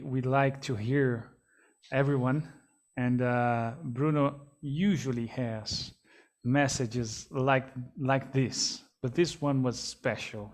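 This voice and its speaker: A man moderating an online panel discussion, polite and measured, with frequent fillers. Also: medium pitch, darkish tone. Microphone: video call.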